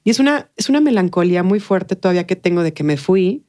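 A woman speaks Spanish with a Mexican accent in a relaxed interview conversation. Her voice sits a little low, and she talks expressively, with slangy phrasing and frequent tag questions. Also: fast. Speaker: interview guest.

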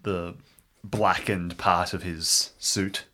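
A man's voice: sits low, level tone, takes it slowly, sharp tone. A man playing in an improvised role-playing game, talking casually and acting out his moves.

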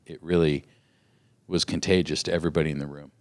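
A man's voice: extremely low pitch, flat delivery, fast talker, no room sound, clean studio sound. A man co-hosting a podcast, talking earnestly and reflectively in a casual conversation. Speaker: podcast co-host.

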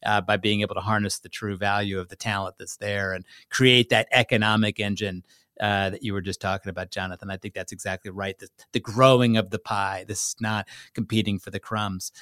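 A man's voice: lowish voice, flat delivery, fairly quick, pushed and bright, no room sound. A man hosting a conversational interview, eager and affirming as he steers the discussion and puts questions to his guests. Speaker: podcast host.

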